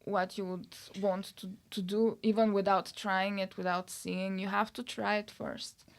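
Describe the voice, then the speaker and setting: A woman's voice: mid-range voice, flat delivery, a little slow. Young woman, podcast guest, earnest and plain-spoken. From Bulgaria. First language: Bulgarian. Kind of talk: casual interview chat.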